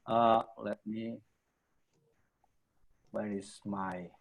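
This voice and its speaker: A man from Brazil with a Brazilian accent and Portuguese as his native language, giving an informal online tech presentation in a halting, repetitive way with "right?" tags. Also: fairly low pitch, fairly even intonation, creaky, a little hiss or hum. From Minas Gerais, Brazil. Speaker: technical presenter and workshop instructor.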